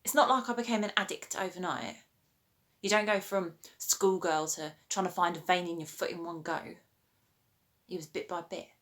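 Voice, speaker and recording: Actress as female recovering addict, haunted and weary; low voice, measured dramatic monologue delivery. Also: fairly even intonation, fairly bright tone, lightly acted. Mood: candid, rueful. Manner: measured, deliberate, pausing delivery.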